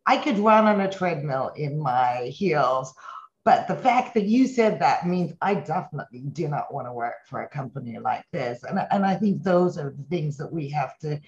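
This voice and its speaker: A woman's voice: deep voice, highs cut off. A woman in her fifties who grew up in Hong Kong, a company chief executive answering interview questions in an earnest, reflective way. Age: 50s. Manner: earnest, reflective, speaks in long run-on thoughts. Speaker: company CEO, interview guest.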